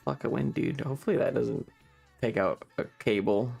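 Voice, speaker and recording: A man's voice: mid-range voice, normal speed, creaky, darkish tone, husky, faint music behind. A man hosting a casual livestream, chatting with viewers in a playful, rambling, slightly distracted way. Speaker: live streamer and host.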